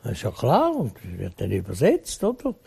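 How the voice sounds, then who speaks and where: Lively husky Swiss German man. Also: mid-range voice, moderate pace. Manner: blunt, emphatic, rambling. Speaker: interviewee. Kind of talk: opinionated conversational interview.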